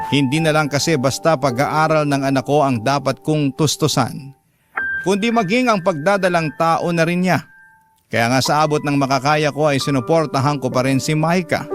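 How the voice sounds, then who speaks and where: A Filipino man narrates a radio drama in the first person. His voice is fairly high for a man, sorrowful and measured, with music clearly behind him.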